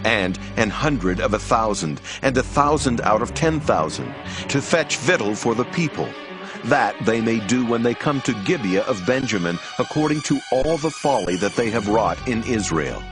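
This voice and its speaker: A man's voice: medium pitch, animated, normal speed, over music. A man reading from a dramatized audio Bible script in a declamatory manner.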